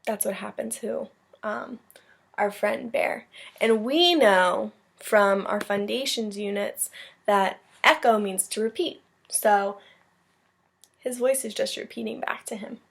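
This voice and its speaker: A woman teacher reading a storybook aloud to young children, pausing to explain things simply. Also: medium pitch, vocal fry, slightly rough.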